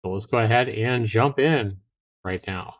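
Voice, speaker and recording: A man's voice: on the low side, unhurried. A man hosting a live stream, chatting casually and reading on-screen text aloud as he comments on a game. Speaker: live streamer, show host. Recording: music under the voice. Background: music.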